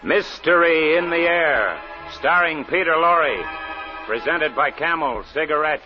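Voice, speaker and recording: Male announcer for vintage radio mystery, scripted dramatic delivery, clearly audible music, muffled period recording. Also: fairly high pitch, lively intonation, measured pace. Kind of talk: 1940s dramatic radio narration.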